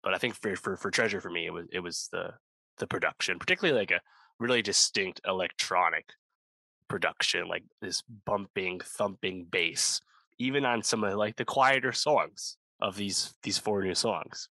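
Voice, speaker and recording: A man with a low, bright voice talks through a music review in a loose, rambling way, full of fillers and restarts. He sounds close to the mic in a dry, dead room. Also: moderate pace, no room sound, poor audio. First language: English speaker, not Korean. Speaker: podcast co-host. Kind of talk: casual music review chat.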